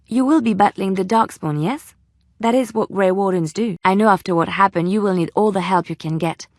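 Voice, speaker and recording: An earnest young woman of faith played by an actress in a fantasy game. Her mid-pitched voice is gentle, polite and hopeful, recorded dry and close. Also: fairly quick, no room sound, close to an everyday voice. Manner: earnest, polite, softly pleading. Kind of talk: fantasy video game acting.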